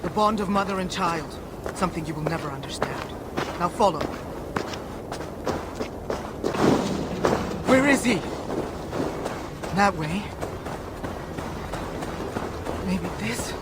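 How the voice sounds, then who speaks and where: A woman's voice: fairly low pitch, lively intonation, normal speed, pauses often, very noisy, close to an everyday voice. Woman playing a fierce, imperious Norse goddess mother. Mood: anxious, searching. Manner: imperious, archaic formal phrasing. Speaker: voice actor in a video game. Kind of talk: dramatic game cutscene acting.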